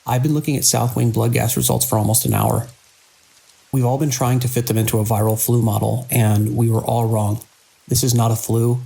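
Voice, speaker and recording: A male actor plays a weary, alarmed hospital director in a horror audio drama. His voice is husky and heavy with fry. He speaks gravely and deliberately, in clipped sentences, like someone logging a crisis. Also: medium pitch, a little mellow, rough recording. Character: weary, alarmed hospital director recording logs during an outbreak. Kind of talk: audio drama monologue, spoken log entries.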